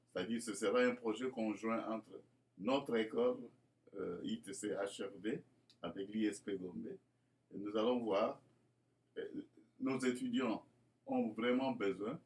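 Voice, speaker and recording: A man from Congo presenting his school project in a formal, churchly way, with many fillers and repeated words. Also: lowish voice. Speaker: school founder addressing inspectors. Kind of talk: hesitant spoken presentation.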